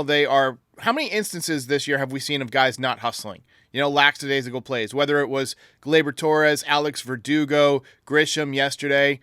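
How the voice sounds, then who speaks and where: A man's voice: medium pitch, bright, forceful tone, clear, resonant tone, no echo, clean studio sound. A man hosting a sports podcast, giving opinionated, critical commentary in an emphatic manner. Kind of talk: sports commentary, answering listener voicemails.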